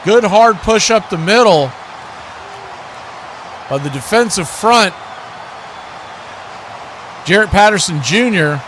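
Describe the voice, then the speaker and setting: Guy doing live football play by play, high voice, bit of noise behind him. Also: expressive, takes it slowly, long pauses, a bit bright. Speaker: play-by-play sportscaster.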